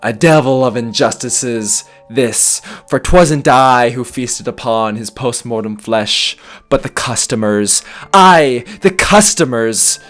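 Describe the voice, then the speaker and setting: A man's voice: medium pitch, lightly acted. A man playing a deranged, grandiose murderer in a theatrical gothic monologue, speaking in pompous, melodramatic, archaic phrases. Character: deranged, grandiose sandwich-shop murderer confessing his crime. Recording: faint music behind the voice.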